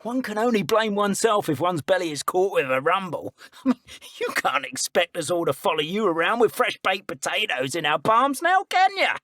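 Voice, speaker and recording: A man voicing a pompous, sneering dark wizard villain in a video game, with theatrical, formal, old-fashioned phrasing. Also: sits high, sing-song, normal speed, no echo, studio quality, exaggerated voice. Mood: pompous, weary.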